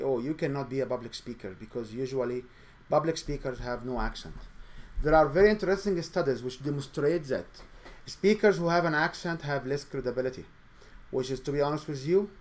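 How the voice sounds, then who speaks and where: A man's voice: medium pitch, normal speed. A man hosting his own show, giving an earnest coaching talk in English with a noticeable accent and some non-native grammar. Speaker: show host and speaking coach. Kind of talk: motivational monologue.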